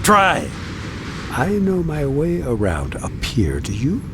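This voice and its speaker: A man's voice: on the high side, wildly swooping pitch, slow, background noise, full character voice. An actor playing a menacing, jealous wealthy man in an audio drama, with a taunting, theatrical delivery.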